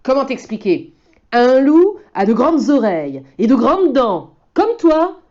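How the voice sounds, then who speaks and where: A woman's voice: high voice, very animated, unhurried, a little mellow, voice pushed up. A woman voicing three blunt, chatty bears who explain things emphatically in a storybook reading, on a slightly dull recording. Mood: emphatic.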